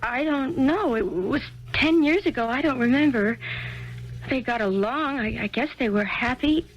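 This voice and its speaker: Woman doing a shy young woman, slightly high voice, hesitant, stammering, old radio play. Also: moderate pace, close to an everyday voice. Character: shy young woman, guarded about her past. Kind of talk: 1970s radio drama acting. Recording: dull lo-fi archival radio with faint hiss.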